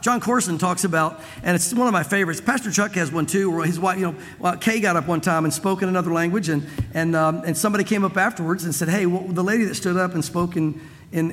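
A man's voice: high-pitched, a bit bright, long reverb tail. A man preaching a conversational sermon, full of asides and restarts, in a church. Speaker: pastor. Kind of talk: expository sermon.

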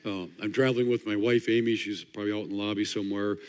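A hoarse older man from Wisconsin preaching fast, with a dry, joking delivery. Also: fairly low pitch, fairly even intonation. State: hoarse, partly lost voice. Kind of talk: fast-paced sermon talk. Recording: long hall reverb.

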